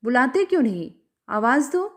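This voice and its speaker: A proud, indignant lady standing her ground voiced by a storyteller. Her high female voice is accusing and animated, in the style of an audiobook drama. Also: normal speed. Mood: doubtful.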